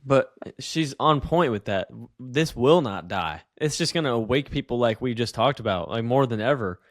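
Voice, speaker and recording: A young man hosting a casual discussion, speaking earnestly and halting on repeated words. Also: mid-range voice, dry recording, clean studio sound. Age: young adult.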